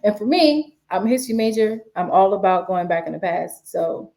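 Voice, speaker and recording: A woman's voice: mid-range voice, lively intonation, normal speed, gravelly, dark tone. A woman in her twenties from the DC area, chatting casually as an interview guest with many fillers and restarts. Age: 20s. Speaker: guest, sports media writer.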